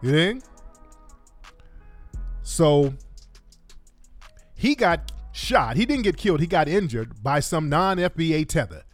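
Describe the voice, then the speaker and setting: A man's voice: on the high side, sing-song, takes it slowly, long pauses, no echo, faint music behind. Emphatic colloquial male talk show host. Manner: emphatic, colloquial, repeats points for stress. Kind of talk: opinionated live commentary to an audience.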